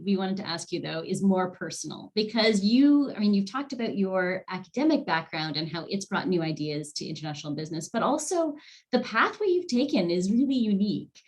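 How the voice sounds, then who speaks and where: A woman interviewing a guest, asking enthusiastic questions with frequent restarts. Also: mid-range voice. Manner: enthusiastic, warm, stumbling restarts.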